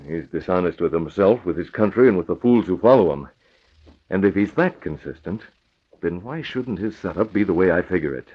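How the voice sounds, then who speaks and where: An actor playing a confident, stern masked vigilante in an old radio drama, clipped and sardonic. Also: male voice, low voice, animated, a little fast, slightly rough. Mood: determined. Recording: muffled vintage radio recording.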